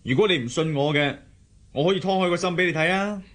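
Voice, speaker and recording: A man's voice: a little high, fast, fairly bright tone, close to an everyday voice. A man with a Hong Kong Cantonese accent acting an earnest, devoted young husband in a radio drama, defensive and teasing by turns. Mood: pleading earnestly.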